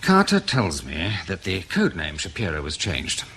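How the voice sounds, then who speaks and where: Spy interrogator for radio play, lowish voice, formal n dry, swoopy intonation, bit husky. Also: male voice, wildly swooping pitch, normal speed, fairly bright tone, a little hiss or hum, heavily acted. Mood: pressing, sceptical. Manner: formal, precise, dryly polite. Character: probing, sceptical male intelligence investigator, measured and dry. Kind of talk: radio drama acting.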